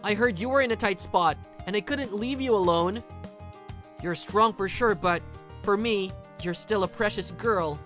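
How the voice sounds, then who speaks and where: A woman playing a timid but loyal introverted high school boy in a manga dub, earnest and hesitant. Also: measured pace, a bit bright, soft background music, close to an everyday voice. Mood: urgent, protective. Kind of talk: manga dub dialogue and first-person narration.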